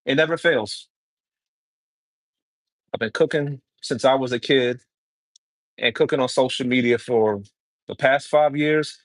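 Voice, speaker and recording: Casual New guy, home cook on a live stream, chatty and relaxed, mid-pitched voice, dry close sound. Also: no room sound. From New York City, Brooklyn. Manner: easygoing, chatty, answers viewer comments while cooking. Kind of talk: casual livestream cooking chat.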